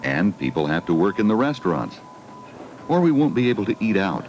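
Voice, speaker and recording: Male narrator for a 1980 school film: low voice, very varied melody, simple explaining and questioning aimed at kids. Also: big pitch swings, fairly quick, a little mellow, soft background music. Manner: addresses children with simple questions. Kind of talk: scripted educational film narration. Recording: 1980 16mm film soundtrack.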